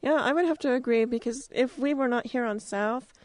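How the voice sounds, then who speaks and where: A woman's voice: fairly high pitch, brisk, no echo. An American woman hosting a casual interview, talking in an informal, chatty way. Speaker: interviewer, radio drama producer.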